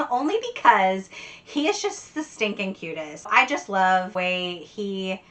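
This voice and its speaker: A woman's voice: medium pitch, bright, forceful tone. A woman book vlogger chatting casually and enthusiastically, with giggly, emphatic repetition. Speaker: book vlogger answering tag questions. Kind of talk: casual chat to camera.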